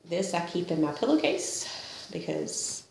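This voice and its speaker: A woman's voice: lowish voice, sing-song, measured pace, creaky, a little mellow, a little hiss or hum. A woman with a slight cold, chatting casually about her cross-stitch projects, self-deprecating and laughing often. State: sniffly with a cold. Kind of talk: casual show-and-tell chat. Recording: echoey room.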